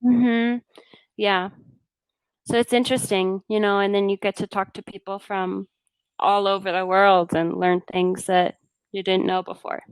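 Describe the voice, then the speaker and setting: Easygoing chatty woman tutor, smooth voice. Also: medium pitch, level tone, measured pace, no room sound, poor audio. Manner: easygoing and chatty, many fillers like yeah and you know. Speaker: online English tutor. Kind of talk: casual conversation practice. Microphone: video call.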